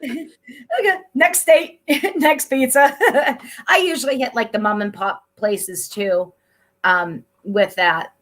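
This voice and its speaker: Middle-aged woman host, slightly high voice, upbeat and chatty, casual interview. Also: slow pace, a bit bright. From Pennsylvania, USA. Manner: chatty, upbeat, laughs often.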